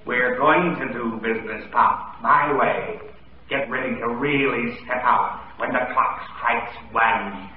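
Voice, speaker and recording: A man's voice: medium pitch, takes it slowly, slight background noise, natural voice. A man playing a brash, wisecracking ghost with slangy punchline delivery in 1940s radio comedy style. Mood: bossy, gleeful. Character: wisecracking, brash male ghost, cackling at own puns. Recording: tinny, cavernous echo, old radio broadcast.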